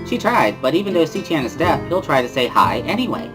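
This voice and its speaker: A man's voice: a little high, very animated, brisk, over music, voice pushed up, low-quality recording. A man voicing a bubbly, teasing teenage schoolgirl in a dramatic reading of a visual novel, exclamatory and sing-song. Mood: chirpy.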